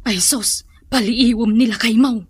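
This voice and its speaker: An actress playing a wife's nosy confidante in a comedy radio drama. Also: female voice, a little high, unhurried, husky, no echo. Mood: nosy, advising.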